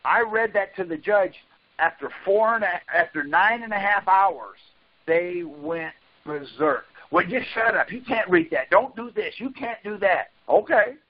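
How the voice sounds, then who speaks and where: A man with a notably high voice argues his points on a group call. He is insistent, repeats himself and cuts in. The audio is dull and lo-fi. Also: expressive, unhurried. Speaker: conference call participant. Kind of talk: argumentative explaining on a group call. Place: group phone conference call.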